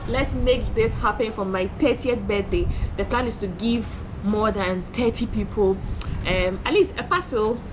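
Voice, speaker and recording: A woman around thirty, talking excitedly to camera in a casual vlog, bubbly and repeating herself. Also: mid-range voice, moderate pace, noisy background. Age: 30s. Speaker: travel vlogger. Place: at work on a rainy morning.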